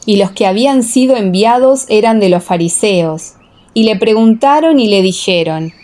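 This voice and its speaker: Casting: female scripture narrator for an audio drama, middle pitch, measured and reverent in phrasing. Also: expressive, darkish tone.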